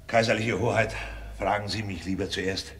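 An actor playing a brave, resolute Russian courier of the Tsar in a German radio play, solemn and declamatory. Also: male voice, on the low side, steady tone, moderate pace, lightly acted. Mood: solemn, composed.

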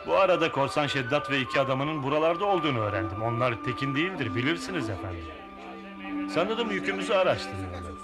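A watchful cartoon sailor, acted by a man, deferential to a superior. The words come quickly in a confiding way, with clear music behind. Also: medium pitch, big pitch swings, close to an everyday voice. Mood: wary. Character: dutiful, watchful sailor reporting to his captain. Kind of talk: dubbed cartoon acting.

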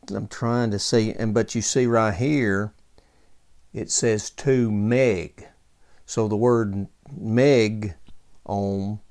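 Slow-talking man with a creaky, clear voice. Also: lowish voice, darkish tone. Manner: halting, thinking aloud. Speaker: presenter of vintage radio repair tutorial. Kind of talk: casual unscripted hands-on technical walkthrough. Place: indoors at a workbench.

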